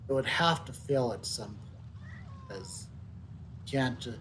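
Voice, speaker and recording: A middle-aged man giving casual, unscripted video game commentary, halting and reflective, repeating words as he thinks. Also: mid-range voice, animated, slight background noise. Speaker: game streamer. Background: soft video game music.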